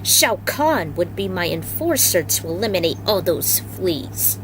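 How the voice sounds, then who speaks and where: Woman voicing a slow, gloating male villain god. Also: lowish voice, big pitch swings, a little hiss or hum, low-quality recording. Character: malevolent gloating double of a dark sorcerer god, male.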